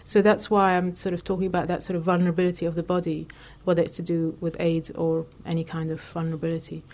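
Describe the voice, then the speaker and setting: A woman from Lebanon, an artist answering interview questions in a reflective way, thinking aloud with many pauses and fillers. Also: fairly low pitch, completely flat delivery, a little mellow.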